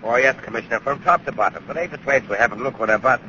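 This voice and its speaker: A man's voice: medium pitch, rapid-fire, lightly acted. An actor playing a deferential working-class lawman, giving plain, colloquial reports in an old radio drama style. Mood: dutiful. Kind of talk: 1930s radio drama acting. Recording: noisy, muffled old radio recording.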